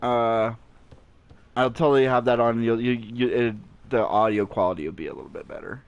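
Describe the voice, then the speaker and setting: A man with a naturally deep voice giving relaxed, rambling gameplay commentary with many fillers, recorded at home on a cheap gaming headset mic. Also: normal speed, clean tone. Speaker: gaming channel host. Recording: low-quality headset mic, faint hiss.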